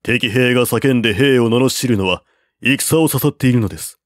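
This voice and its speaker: A man voicing a calm, shrewd male strategist who counsels in a measured, persuading way, in a storybook reading. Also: mid-range voice, no echo, studio quality, lightly acted.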